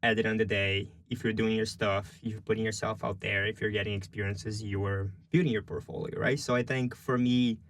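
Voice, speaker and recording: A young man with a Brazilian Portuguese accent speaks in a low voice, chatting loosely in an interview. He fills his answers with "like" and "you know". The sound is close and dry. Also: level tone, fairly quick, few pauses, no echo. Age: young adult. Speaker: guest, journalism student.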